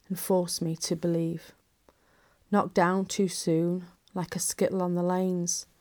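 A woman's voice: fairly low pitch, darkish tone. Subdued woman reciting a mournful poem.

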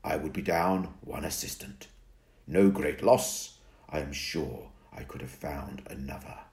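A man voicing an ancient, weary male vampire mentor who talks slowly and formally. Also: extremely low pitch, creaky, darkish tone, exaggerated voice. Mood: dry. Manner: slow, formal, dry.

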